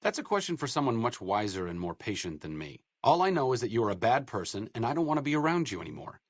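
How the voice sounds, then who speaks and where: A man's voice: medium pitch, sing-song, quick pace, dry recording. An actor playing a wry, idealistic male doctor in a video game, dry and sarcastic by turns, then earnest.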